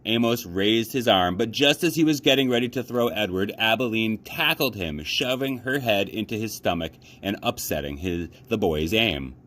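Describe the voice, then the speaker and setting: Deep-voiced man reading a storybook expressively. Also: lively intonation, moderate pace, hardly any pauses, fairly bright tone. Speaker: youth librarian reading aloud. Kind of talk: expressive children's storybook narration.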